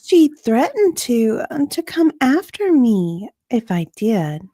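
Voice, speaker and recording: A woman's voice: on the high side, big pitch swings, slow, dark tone, no echo, heavily acted. A woman playing an unsure, hesitant witness in an amateur dramatic reading of a deposition, drawing out her answers. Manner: hesitant, drawn out, uncertain.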